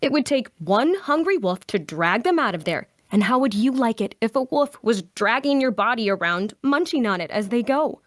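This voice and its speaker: A woman's voice: on the high side, expressive, normal speed, hardly any pauses, dry recording, clean studio sound, natural voice. A woman playing an earnest, compassionate adventurer who insists on what is right, in a fantasy drama table read. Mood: indignant. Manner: indignant, pleading.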